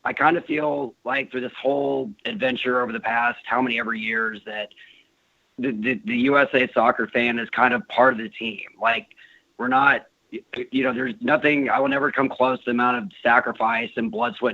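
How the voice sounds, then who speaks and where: Male caller, voice going hoarse, halting and filler-heavy, dull dry phone line. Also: mid-range voice, fairly even intonation, bright, forceful tone, no room sound. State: losing his voice. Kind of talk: call-in radio talk.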